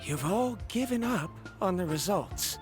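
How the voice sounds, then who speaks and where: An actor playing an earnest, bookish male researcher in a video game, formal and precise with an excitable, flustered edge. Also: high-pitched, a little slow, music in the background. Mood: concerned. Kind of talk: scripted video game voice acting.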